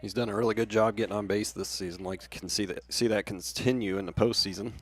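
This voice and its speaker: A man's voice: fairly low pitch, fairly even intonation, quick pace. An American man giving casual baseball analysis as a radio color commentator.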